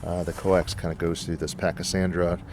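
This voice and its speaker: A man giving a casual, unscripted explanation as a hobbyist host, halting and hesitant, with frequent pauses and a gravelly voice. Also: low voice, little pitch movement, darkish tone, slight background noise.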